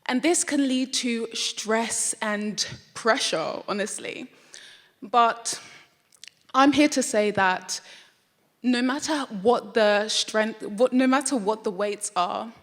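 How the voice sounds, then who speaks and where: A young British woman student giving an earnest, reflective talk to a live audience. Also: a little high. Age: young adult. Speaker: student speaker. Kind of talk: prepared public talk. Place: lecture hall with audience. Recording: long hall reverb.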